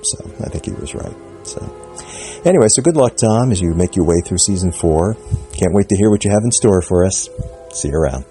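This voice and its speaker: A man's voice: low-pitched, animated, a little fast, mellow, soft background music. A man giving spoken commentary on a TV episode, rambling and hesitant, with many fillers and restarts. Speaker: podcast contributor.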